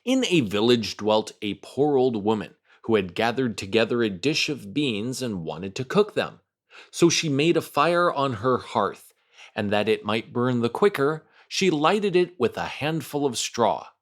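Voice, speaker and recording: A man's voice: medium pitch, animated, a little slow. A man reading a storybook aloud as a storyteller, theatrical and carefully phrased. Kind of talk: expressive read-aloud storybook narration.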